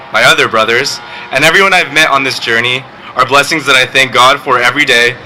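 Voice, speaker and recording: A teenage boy, valedictorian, giving a graduation speech with joking, deadpan asides. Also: mid-range voice, slight background noise, rough recording. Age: teens. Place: outdoor graduation ceremony.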